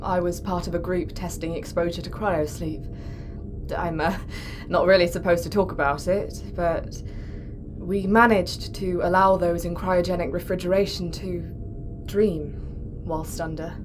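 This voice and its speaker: A woman's voice: fairly low pitch, steady tone, a little slow, natural voice. An actress playing a reserved, guarded female psychologist in a naturalistic space audio drama. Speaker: crew psychologist. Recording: clear ambient noise behind.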